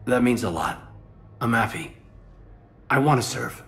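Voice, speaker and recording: An actor playing an earnest, soft-spoken male soldier who has just been made an elite agent. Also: medium pitch, lively intonation, measured pace, close to an everyday voice. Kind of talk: video game voice acting.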